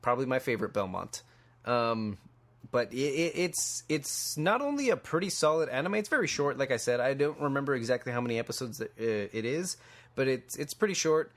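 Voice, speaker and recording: A man's voice: mid-range voice, expressive, fairly quick, a bit bright. A man co-hosting a podcast, chatting casually about pop culture in an enthusiastic, somewhat hesitant way. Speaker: podcast co-host. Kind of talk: casual chat about video games and films.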